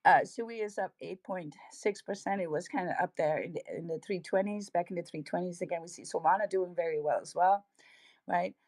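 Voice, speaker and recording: A woman's voice: fairly low pitch, fairly quick, darkish tone, no room sound. A woman with a Dutch accent co-hosting a crypto livestream, giving casual market commentary in an upbeat way, laughing often and using hype slang.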